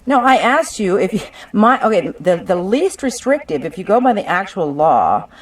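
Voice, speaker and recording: A woman's voice: medium pitch, very animated, normal speed. Argumentative woman, distant on a conference call. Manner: argumentative, insistent, combative. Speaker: claimant arguing her own legal case. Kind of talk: contentious legal phone discussion.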